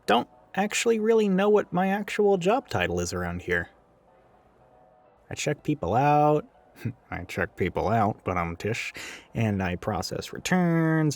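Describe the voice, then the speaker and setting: Audio roleplay: male actor as a sarcastic, rambling retail coworker of ambiguous gender, flippant and casual, with widely swinging pitch. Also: very animated, unhurried. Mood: breezy, flippant. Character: sardonic, chatty, gender-ambiguous retail coworker who goes by she. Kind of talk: casual roleplay monologue addressed to the listener.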